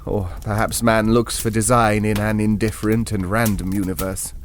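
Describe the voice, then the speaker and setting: An actor playing an earnest, bookish gentleman who recounts an eerie tale in an audio drama, wry, hesitant and reflective. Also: lowish voice, flat delivery, moderate pace, slight background noise, lightly acted. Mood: reflective, uneasy. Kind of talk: ghost story narration in audio drama.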